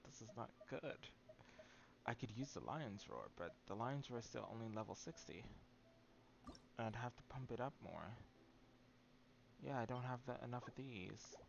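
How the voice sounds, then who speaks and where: A man's voice: medium pitch, animated, moderate pace, vocal fry, pauses often, muffled recording, a little hiss or hum. A man doing casual livestream game commentary, thinking aloud in an anxious, candid way. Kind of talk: casual gameplay commentary to stream viewers.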